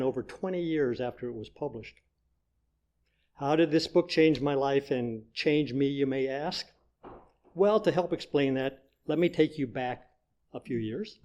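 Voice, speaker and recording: Older guy, slightly high voice, sharing a personal story at church, measured delivery. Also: darkish tone. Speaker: congregation member giving a personal testimony. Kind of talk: prepared personal talk at a church service. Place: speaking to a congregation in a church.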